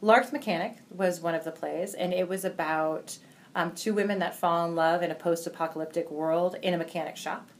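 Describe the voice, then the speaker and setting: A woman's voice: fairly low pitch, steady tone, brisk, a little hiss or hum. A woman explaining things informally in an interview, as the organizer of a program.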